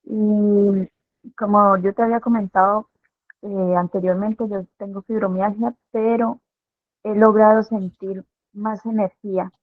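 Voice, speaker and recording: Una mujer que cuenta su experiencia en una clase en línea, hablando con vacilaciones y muchos "eh". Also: female voice, medium pitch, fairly even intonation, unhurried, no room sound. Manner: hesitant, with many "eh" fillers. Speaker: student giving a testimony. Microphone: video call. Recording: tinny phone-like video call audio.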